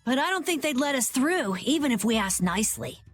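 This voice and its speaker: Plucky young game heroine, high and animated. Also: female voice, a little fast, faint music behind. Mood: matter-of-fact. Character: brisk, plucky young woman adventurer, quick to scold. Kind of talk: video game cutscene voice acting.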